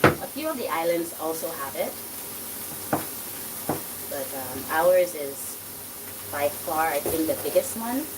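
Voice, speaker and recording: A chatty woman with a light Trinidadian accent talks through her cooking in a rambling, easy way, her voice on the low side. She sits back from the mic in a home kitchen, and loud sizzling from the pan fills the background. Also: animated, takes it slowly, long pauses, recorded from a distance, heavy background noise. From Dominica, raised in Trinidad and Tobago. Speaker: cooking channel host. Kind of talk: casual cooking vlog chat. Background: sizzling food frying.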